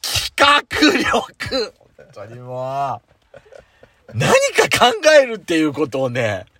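A man's voice: medium pitch, wildly swooping pitch, takes it slowly, sharp tone, no room sound. A man co-hosting a podcast in casual banter, scolding his partner with sassy, effeminate turns of phrase. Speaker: podcast co-host. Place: recorded in a parked car. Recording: background music under speech.

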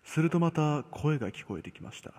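Husky man narrating ghost stories, heavy reverb. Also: on the high side, animated, a little mellow. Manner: measured, dramatic pauses. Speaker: horror storyteller. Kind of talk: scripted ghost-story narration. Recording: long cavernous reverb.

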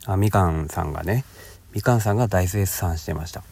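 A middle-aged man hosting a casual solo podcast, talking to listeners hesitantly with frequent drawn-out filler sounds. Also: low voice, vocal fry, darkish tone, slightly rough. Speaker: podcast host.

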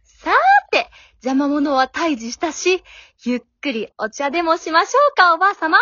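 Radio drama heroine, female amateur: haughty, pushy young girl, high-pitched and very animated, dry slightly dull recording. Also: moderate pace, dry recording. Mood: breezy, bossy. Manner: haughty, coy, pushy ladylike speech.

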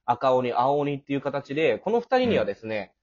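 Fast, lively man hosting, lo-fi sound. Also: medium pitch. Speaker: host. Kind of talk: casual hosting of a cast after-talk.

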